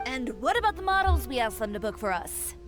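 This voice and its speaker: A proud boutique owner and designer, cool and self-assured. Her voice sits a little high, and she hands out orders crisply. A game soundtrack plays beneath her. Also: sing-song, fairly quick. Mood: composed. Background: game music.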